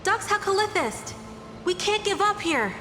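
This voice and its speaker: A woman's voice: extremely high pitch, lively intonation, measured pace, music in the background. An actress playing a loyal, devoted young female warrior in a game, earnest and emotional. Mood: defiant. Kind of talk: video game voice acting.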